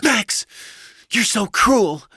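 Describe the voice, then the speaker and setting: Man as a fretful wife, meek, plaintive, raised pitch, bright tone, audiobook character. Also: expressive, drawn-out words, slightly rough, dry recording, studio quality, full character voice. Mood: hurt, angry. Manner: plaintive, fretful, higher-voiced. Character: meek, anxious, long-suffering wife and stepmother.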